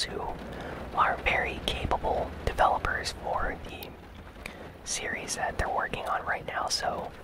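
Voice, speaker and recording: A host whispering gaming news in a breathy, unvoiced ASMR style, informal and keen in wording. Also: low-quality recording.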